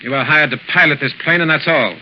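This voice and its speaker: An actor playing a curt, commanding swindler in a 1930s radio drama. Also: male voice, a little high, quick pace, lightly acted. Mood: menacing. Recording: muffled, very noisy 1930s radio recording.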